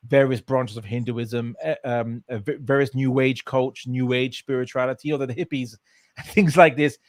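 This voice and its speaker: A man's voice: mid-range voice, dry recording. A man from England, telling his story as an interview guest in a casual way, with many fillers and restarts. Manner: hesitant, many fillers and restarts, laughs often.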